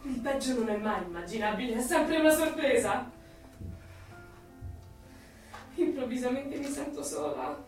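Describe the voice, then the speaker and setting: Intense, high-voiced rebel in echo. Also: female voice, animated, brisk. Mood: pensive. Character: intense female secret rebel, resolute yet lonely. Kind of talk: Italian radio drama acting. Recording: very echoey, distant, music behind.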